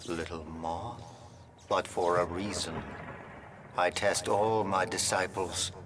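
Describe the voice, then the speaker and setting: A man's voice: lowish voice, background noise, heavily acted. An actor playing a flamboyant, unhinged male artist and impresario in a video game, with a theatrical, grandiose delivery. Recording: loud music behind the voice.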